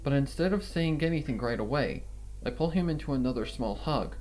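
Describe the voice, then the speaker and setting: A man's voice: mid-range voice, lively intonation, fairly quick, darkish tone, faint music behind, low-quality recording. A man reading scripted first-person fan fiction as a story narrator. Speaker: story reader.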